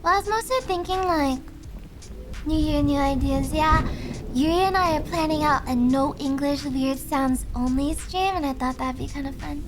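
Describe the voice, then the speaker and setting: A young woman streaming, chatting softly and hesitantly with viewers with many fillers. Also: sits high, normal speed. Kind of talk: casual chat with viewers. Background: faint background music.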